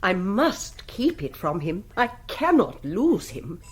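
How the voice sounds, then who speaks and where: An actress playing a grand, affected society hostess with an upper-class English accent, lofty and theatrical, in a radio comedy. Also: female voice, mid-range voice, big pitch swings, slow, slightly rough, full character voice. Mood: grandly dismayed. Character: grand, affected, self-important society queen. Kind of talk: radio comedy drama acting.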